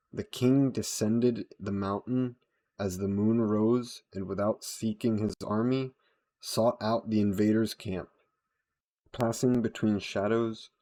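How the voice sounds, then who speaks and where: Guy playing a brooding vampire telling a dark gothic tale, really slow and solemn, lowish voice, audio roleplay. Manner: slow, deliberate, solemn delivery. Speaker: audio roleplay performer, storyteller. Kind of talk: scripted dramatic storytelling narration.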